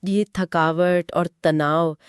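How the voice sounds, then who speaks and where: A woman co-hosting a horror story show, giving conversational commentary with expressive questions and reflective remarks. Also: on the low side, slow, smooth, no echo, studio quality. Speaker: co-host reacting to stories.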